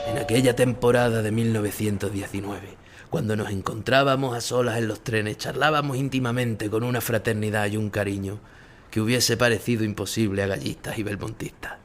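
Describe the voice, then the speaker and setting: A male actor with a Spanish accent playing an ageing, reflective, melancholy bullfighter, narrating memories in a measured, intimate way. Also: lowish voice, fairly even intonation, a little hiss or hum, close to an everyday voice. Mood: wistful. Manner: measured, solemn, intimate storytelling. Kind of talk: first-person literary narration in an audio drama.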